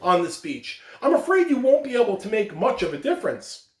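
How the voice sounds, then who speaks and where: A man's voice: high-pitched, very animated, brisk, pitched above the performer's own voice. A man voicing a curious, doubtful old man in a storybook parable, with emphatic questioning. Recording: echoey room, distant microphone.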